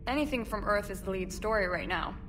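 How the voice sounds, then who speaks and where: A woman's voice: medium pitch, lively intonation, fairly quick, close to an everyday voice. A woman playing a confident, eager war news reporter in a video game, punchy and assertive. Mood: wry, assertive. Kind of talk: video game cutscene dialogue. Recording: clear background noise.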